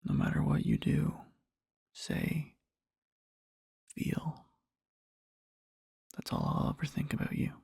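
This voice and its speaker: A man playing an adoring boyfriend in a soft ASMR roleplay, murmuring slowly and tenderly to a sleeping partner in a breathy, husky whisper. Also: no echo, clean studio sound, natural voice. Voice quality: breathy, husky, with creaky fry. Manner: slow, halting, tender murmuring.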